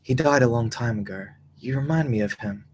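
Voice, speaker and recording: An actor playing a wistful, mysterious traveller, gentle and cryptic, in a script table read with faint noise. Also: male voice, mid-range voice, a little fast, lightly acted. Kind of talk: live table read of a screenplay.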